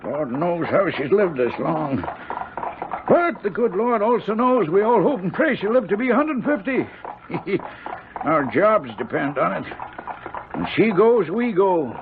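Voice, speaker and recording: An actor playing a chatty older hired driver in a 1970s radio drama, with a creaky voice and folksy, rambling, superstitious country talk. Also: male voice, high voice, sing-song, a little slow, full character voice. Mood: spooky, ominous. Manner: folksy, rambling, old-fashioned rural turns of phrase. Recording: noisy, narrow-band old radio broadcast.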